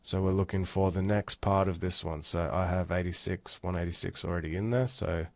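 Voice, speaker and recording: A man giving a casual, step-by-step software walkthrough as an instructor. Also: low voice, fairly even intonation, brisk, no echo. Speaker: tutorial instructor. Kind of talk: screen-recorded software tutorial, casual walkthrough.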